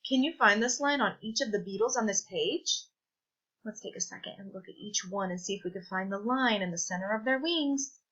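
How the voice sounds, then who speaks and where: A woman reading a children's science picture book aloud, with clear, deliberate, teacherly phrasing. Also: mid-range voice, fairly bright tone, highs cut off. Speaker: read-aloud presenter.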